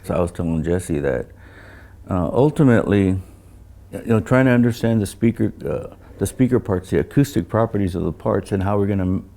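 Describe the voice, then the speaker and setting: An older man speaks in a low, gravelly voice with a mellow tone. He talks like a seasoned engineer in casual conversation, halting and doubling back on words as he tells his anecdotes. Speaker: loudspeaker designer.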